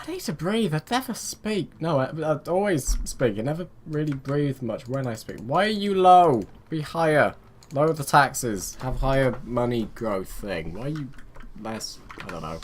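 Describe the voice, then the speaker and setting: A man's voice: mid-range voice, a little hiss or hum. Rambling man muttering through a strategy game. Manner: self-mocking, chatty. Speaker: let's play gamer. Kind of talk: casual gameplay commentary.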